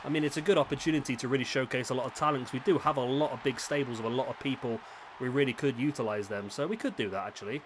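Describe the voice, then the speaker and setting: A man's voice, a touch raspy from a sore throat, talks through a wrestling game in a loose, chatty way, often stopping to correct himself. His pitch sits in an ordinary middle range. A faint hiss sits under his headset mic. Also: fast talker. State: rough, slightly raspy throat. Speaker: host commentating a simulated wrestling league. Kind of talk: casual game commentary. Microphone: headset microphone.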